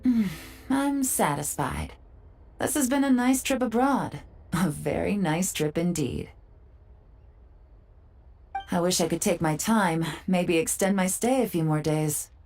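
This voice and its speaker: A woman voicing a poised, urbane female intelligence agent in a video game, with a smooth, leisurely, playful and self-assured manner. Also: lowish voice, unhurried, pauses often, a little mellow. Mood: content. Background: background music.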